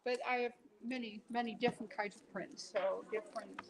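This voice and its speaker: Dutch-accented woman guest, middle-aged, fairly high pitch, hesitant chat. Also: fairly even intonation, gravelly, rough recording. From Netherlands. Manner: halting, with many fillers and restarts. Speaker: guest quilter and artist. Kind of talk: casual interview chat.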